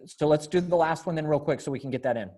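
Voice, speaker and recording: A man's voice: fairly high pitch, quick pace, mellow. A young man directing a readers theater group over a video call in a casual, encouraging way. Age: young adult. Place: indoor room by window, video call.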